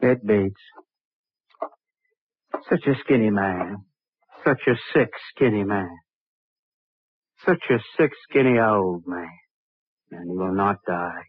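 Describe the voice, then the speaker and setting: Husky, brooding Scottish-tale grave robber. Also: male voice, fairly low pitch, slow, long pauses, no room sound. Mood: weary, brooding. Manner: brooding, repetitive muttering in short phrases. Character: poor, cold, whiskey-craving grave-robber turned murderer. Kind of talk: old-time radio drama acting. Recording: muffled, phone-like vintage radio recording.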